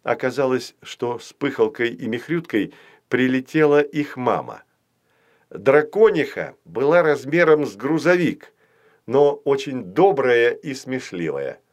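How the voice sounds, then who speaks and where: A man reading scripted narration as the storyteller of a children's fairy tale. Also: mid-range voice.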